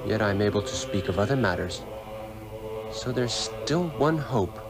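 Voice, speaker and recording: Earnest, low-voiced sorcerer's apprentice. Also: male voice, animated, measured pace. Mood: troubled, resolute. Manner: earnest, formal, theatrical. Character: earnest, determined man becoming a sorcerer's humble pupil. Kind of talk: 1960s radio drama acting. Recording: old radio broadcast with music underneath.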